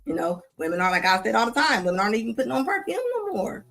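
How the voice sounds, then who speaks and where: Woman, fairly high voice, sassy scolding commentary, faint music behind. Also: big pitch swings, very fast, gravelly, fairly bright tone. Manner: sassy, scolding, repeats herself, casual slang. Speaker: host reacting to clips. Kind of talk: live commentary on videos.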